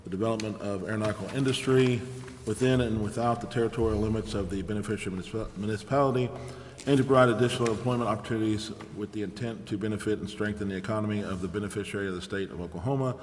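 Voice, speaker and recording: A man's voice: lowish voice, racing. An American man chairing a city council meeting, formally reading agenda items and calling for votes. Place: large indoor council chamber. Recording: echoey council chamber, clicks, background noise.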